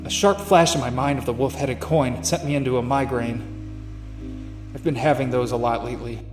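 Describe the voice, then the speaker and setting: An actor playing a troubled private detective with memory gaps in a noir audio drama, brooding and confessional. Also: male voice, medium pitch, fairly even intonation, normal speed, roomy sound, over music, lightly acted. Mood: brooding, anxious. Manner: brooding, confessional inner monologue.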